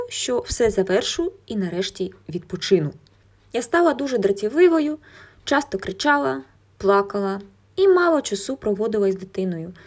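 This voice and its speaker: Young female vlogger, slightly high voice, casual first-person narration, chatty and open. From Ukraine. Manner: candid, conversational, colloquial. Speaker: illustrator and art vlogger.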